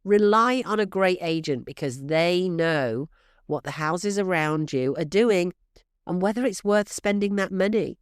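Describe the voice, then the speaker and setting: Snuffly woman host giving brisk advice. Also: lowish voice, clear, resonant tone, no room sound, studio quality. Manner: brisk, emphatic, coaching tone, addresses listeners as "guys". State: stuffy and snuffly from allergies. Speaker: real estate podcast host. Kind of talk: direct-to-listener podcast advice.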